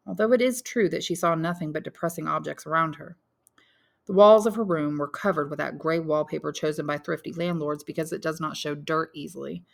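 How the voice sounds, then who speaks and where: A woman's voice: deep voice, creaky, a bit bright. A woman reading a literary story aloud as scripted audiobook narration. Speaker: audiobook narrator, English teacher.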